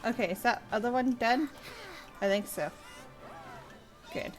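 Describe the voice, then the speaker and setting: A woman's voice: a little high, unhurried. A woman giving casual live commentary on a video game, thinking aloud as she plays. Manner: thinks aloud while playing. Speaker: game streamer. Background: game audio with faint music.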